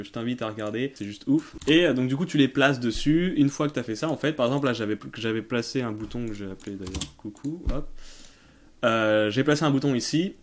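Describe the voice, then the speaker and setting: A man hosting a tech Q&A in a casual, unscripted way, often hesitating as he thinks aloud and using informal slang. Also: mid-range voice. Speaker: tech tutorial host answering viewer questions. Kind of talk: casual off-the-cuff explanatory commentary.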